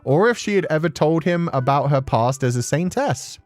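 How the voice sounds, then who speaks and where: A man giving casual, animated commentary on a story game, swearing freely as a streamer. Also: on the high side, moderate pace, soft background music. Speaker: streamer playing and reading a visual novel.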